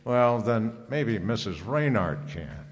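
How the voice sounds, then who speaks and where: An actor playing a cool, wisecracking private detective in an old-time radio drama, with a sardonic, slangy delivery. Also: male voice, on the low side, expressive, takes it slowly, a little hiss or hum. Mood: dry, confident. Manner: sardonic, slangy, hard-boiled. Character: cool wisecracking private detective, narrating his own case. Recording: reverberant hall.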